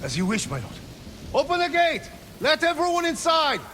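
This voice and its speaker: High-pitched, suspicious town guard. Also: female voice, wildly swooping pitch, normal speed, fairly bright tone, exaggerated voice. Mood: obedient, urgent. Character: suspicious, forceful female town guard, loyal to her lord. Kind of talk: dramatic video game acting. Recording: noisy game audio with rainstorm.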